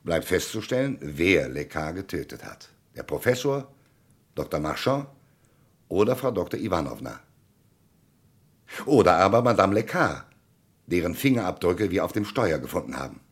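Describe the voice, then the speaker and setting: A man acting a formal, authoritative police commissioner who deliberately questions suspects in a German radio crime drama. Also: low voice, very animated, slightly rough, exaggerated voice. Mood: stern, insistent. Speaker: actor.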